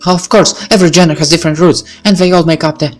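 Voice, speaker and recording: Man putting on a shy, high-pitched teenage girl. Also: fairly even intonation, fast talker, soft background music, natural voice. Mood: earnest, embarrassed. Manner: hesitant, earnest. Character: shy, earnest teenage girl who loves music. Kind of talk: visual novel character voice.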